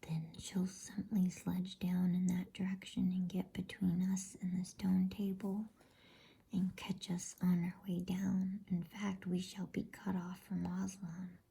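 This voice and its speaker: A woman voicing a grave, knowing male beaver from a bedtime storybook in a breathy whisper. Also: on the low side, monotone, measured pace, dark tone, heavily acted. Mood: worried.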